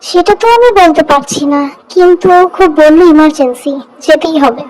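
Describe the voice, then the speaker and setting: A woman's voice: high voice, fairly quick, darkish tone, slight background noise, natural voice, low-quality recording. A woman voicing an urgent messenger in a cartoon story. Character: urgent messenger relaying a phone call.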